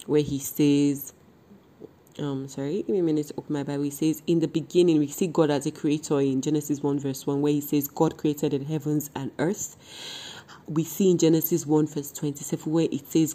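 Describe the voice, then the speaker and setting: Excitable Nigerian American woman podcast host. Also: low voice. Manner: excitable, laughs often, exclaims.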